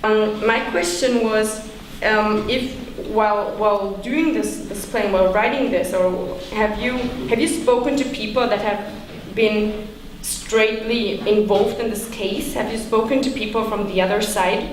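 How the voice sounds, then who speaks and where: A woman's voice: mid-range voice, fairly even intonation, unhurried. A woman asking a spontaneous question from an audience, hesitant and rephrasing as she goes. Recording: very echoey hall, faint noise.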